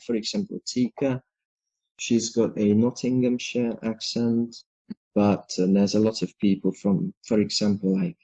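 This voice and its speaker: A man's voice: lowish voice, vocal fry, warm tone, husky. A man hosting an English lesson, chatting casually and listing many examples as he agrees with his co-host. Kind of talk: casual conversation. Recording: dull lo-fi audio.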